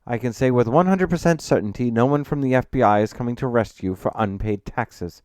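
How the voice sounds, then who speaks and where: A man's voice: mid-range voice, fairly quick, darkish tone, smooth, no room sound, clean studio sound, voice pushed up, lightly acted. A man voicing an earnest, authoritative accountant in an audiobook, speaking in a firm, assertive way. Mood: firm, confident. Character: earnest male accountant, authoritative, confident, easily annoyed.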